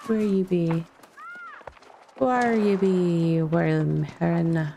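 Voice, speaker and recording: A woman's voice: fairly low pitch, fairly even intonation, very slow, creaky, warm tone, slight background noise. A woman doing casual, playful video game commentary, wryly talking to herself as she plays.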